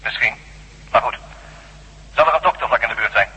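An actor playing a commanding anonymous kidnapper in a radio drama, curt and controlling, speaking over a telephone. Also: male voice, mid-range voice, a little fast, sharp tone, breathy edge, big-room sound, full character voice, low-quality recording. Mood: coolly businesslike.

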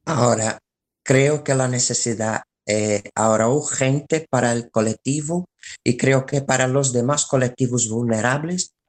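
An interview guest explaining a collective's work in halting phrases with repetitions. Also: mid-range voice. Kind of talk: spoken radio interview, explaining.

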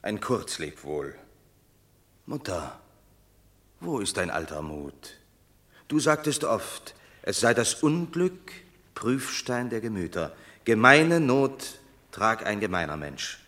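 An actor playing a proud, scornful banished Roman warrior in a radio drama of classical verse, with a declamatory, measured, grand delivery. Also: male voice, fairly low pitch, big pitch swings, fairly bright tone, full character voice. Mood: solemn, consoling.

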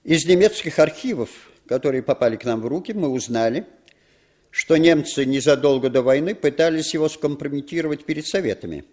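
An actor playing an urbane, commanding, cynical intelligence chief in a 1960s Soviet radio drama, with deliberate, slyly ironic delivery. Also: male voice, on the high side, a little mellow, natural voice. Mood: businesslike briefing.

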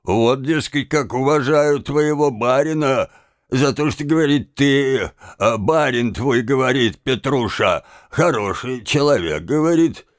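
A man's voice: mid-range voice, measured pace, dry recording, studio quality, close to an everyday voice. A man voicing a tipsy, insolent manservant in an audiobook, drawling and repeating himself in an obstinate, evasive way. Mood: sullen, rambling. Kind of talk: dramatised audiobook of a classic novel.

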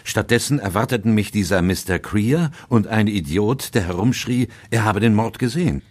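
A man acting an agitated, loyal doctor in a German radio play, urgent and demanding, with a husky voice. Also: lowish voice, animated, normal speed. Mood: worried, indignant. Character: agitated, loyal doctor, male friend of a detective.

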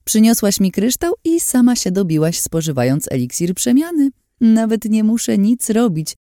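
Woman acting a high-pitched, sarcastic scheming villainess. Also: big pitch swings, normal speed, warm tone, no room sound, studio quality, lightly acted. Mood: gloating. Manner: mocking, theatrical. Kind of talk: dramatised audiobook narration.

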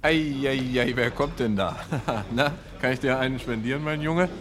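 An actor playing an easygoing but easily rattled accomplice in a German radio crime drama. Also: male voice, mid-range voice, normal speed, over loud music, lightly acted. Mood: jovial. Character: jovial then nervous reluctant male accomplice in crime.

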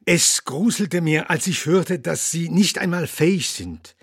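An actor playing an elderly, outspoken humanitarian doctor in a radio play, husky and deliberate, with dry humour. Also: male voice, a little high, no room sound. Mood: grave, indignant. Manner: deliberate, opinionated, dryly humorous. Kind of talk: radio drama in the form of a talk show interview.

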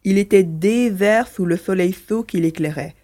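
A woman's voice: mid-range voice, moderate pace, dark tone, natural voice. A woman voicing a mischievous male mouse-beaver alien, a chatty, teasing adventurer in a French audio drama. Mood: storytelling.

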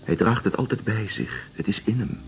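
A man's voice: on the low side, moderate pace, a little hiss or hum, natural voice. An actor playing an earnest, consoling man with a solemn, philosophical and reassuring manner in a radio drama. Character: earnest, consoling man of quiet hope and conviction. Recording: dull radio recording with music underneath.

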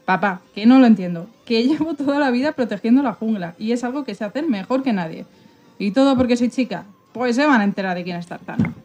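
Woman as young jungle girl, high voice, eager, defiant, children's radio theatre. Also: lively intonation, quick pace, darkish tone, a little hiss or hum, close to an everyday voice. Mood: indignant, defiant.

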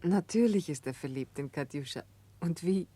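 A woman playing a calm, perceptive prisoner and confidante in a 1960s German radio drama, husky and gently direct. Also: low voice, moderate pace, no echo. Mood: friendly.

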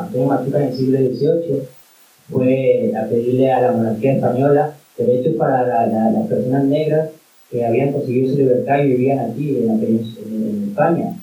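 A man's voice: mid-range voice, warm tone, recorded from a distance. A man from Colombia speaking at a public talk, arguing passionately and leaning on fillers as he goes. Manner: impassioned, argumentative, full of fillers like "o sea" and "en plan". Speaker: panel speaker, migrant activist. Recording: roomy hall, voice picked up from afar, faint hiss.

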